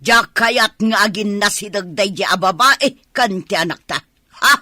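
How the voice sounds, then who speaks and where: A woman's voice: mid-range voice, a little slow, pushed and bright, no room sound, studio quality. A woman playing a doubtful older mother fretting over her son in an Ilocano radio drama. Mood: doubtful, worried.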